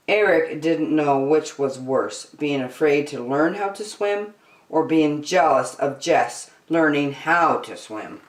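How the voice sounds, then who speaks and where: A woman reading a children's picture book aloud as host, deliberately phrase by phrase, in an echoey room. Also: deep voice. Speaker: storytime host and reader. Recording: roomy echo.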